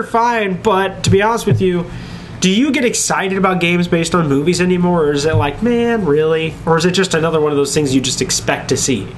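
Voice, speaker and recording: A man with a slightly high voice chats informally as a show co-host. He sounds easygoing and unscripted, talking in loose conversational phrases. There is light noise behind him. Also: rough recording. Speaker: co-host of a video game and movie talk show. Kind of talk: casual unscripted chat. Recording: clear background noise.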